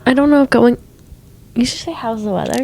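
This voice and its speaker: A young woman co-hosting a podcast while congested, chatting in a relaxed, rambling way with lots of fillers. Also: on the high side, fairly quick, no echo, low-quality recording. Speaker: podcast co-host. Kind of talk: casual chat.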